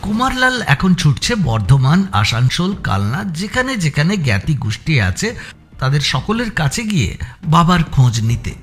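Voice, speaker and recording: A man narrates a scripted suspense story in a measured storytelling manner. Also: on the high side, big pitch swings, fairly bright tone, soft background music. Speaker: audio story narrator and channel presenter.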